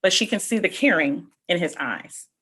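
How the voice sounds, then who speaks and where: A woman reading a picture book aloud to children, explaining things simply with asides and questions in a slightly husky voice. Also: fairly low pitch, normal speed. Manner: expressive, explaining simply and addressing young listeners with questions. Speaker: read-aloud host for children.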